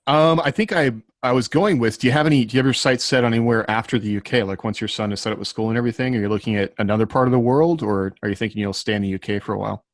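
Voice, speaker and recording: Guy on a podcast talking super quick, lowish voice, close dry sound. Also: dry recording. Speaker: podcast panelist, author. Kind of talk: casual chat.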